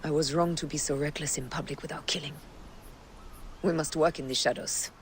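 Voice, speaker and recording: An actress playing a resolute Egyptian woman who leads assassins, measured and stern in a game cutscene. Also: sits low, steady tone, slight background noise, natural voice. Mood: cold resolve. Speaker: voice actor. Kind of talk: dramatic video game cutscene acting. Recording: music behind voice.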